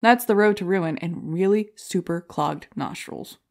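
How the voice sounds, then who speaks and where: A woman's voice: on the low side, measured pace, vocal fry, a little mellow. An actress playing a brash, self-deprecating female stand-up comic, delivering jokey rhetorical setups and punchlines in an audio drama. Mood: wry, joking. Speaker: comedy contest contestant.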